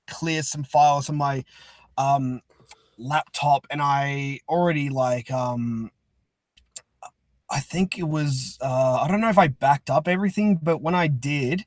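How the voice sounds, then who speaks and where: Man, casual interview guest, chatty, rambling, medium pitch, dry recording. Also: no room sound. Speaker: interview guest, fan-dub creator. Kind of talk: casual chat.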